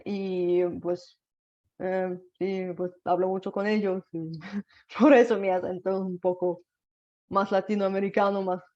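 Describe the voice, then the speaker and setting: A woman interviewing casually in a second language, asking soft, tentative questions with many fillers. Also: medium pitch, level tone, unhurried. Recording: slightly dull lo-fi audio.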